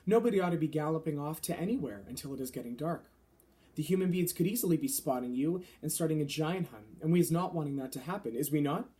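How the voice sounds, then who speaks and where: A man voicing huge, menacing man-eating giants in a children's storybook, with booming shouts and mangled grammar. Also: animated, fast talker, close to an everyday voice. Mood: bullying, suspicious.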